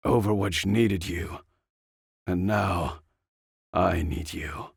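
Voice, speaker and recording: A man playing a gruff, stubborn older male soldier with an insistent manner in an audiobook story. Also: low-pitched, fairly even intonation, takes it slowly, no room sound, studio quality, exaggerated voice. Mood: pleading.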